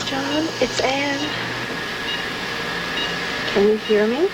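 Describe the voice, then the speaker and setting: Devoted anxious wife, highish voice, naturalistic seventies TV drama, very noisy tape. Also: female voice, very slow. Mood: anxious, pleading. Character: anxious, loving wife of a heart transplant patient. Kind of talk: naturalistic 1970s TV film acting. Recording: very noisy old TV broadcast recording.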